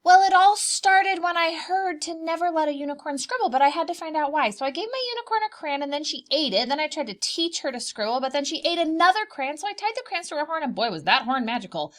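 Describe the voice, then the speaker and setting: An excitable young storybook girl, acted by a woman, speaks in a high voice. Her pitch leaps about a great deal, and she is dramatic and emphatic about everything she says. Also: fast, few pauses. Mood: breathless explaining.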